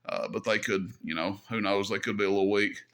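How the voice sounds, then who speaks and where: A man's voice: fairly low pitch, fairly even intonation, fairly quick, a bit bright. A man casually demonstrating equipment as a hobby host, talking in a folksy, easygoing way as he thinks aloud. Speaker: host of a CB radio hobby channel.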